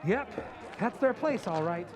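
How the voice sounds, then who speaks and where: Man acting a wisecracking young radio-drama guy, animated. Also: high-pitched, a little slow, a crowd in the background. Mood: wry, exaggerating. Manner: slangy, sardonic, exaggerating. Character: wisecracking, cynical young man griping to his fiancée.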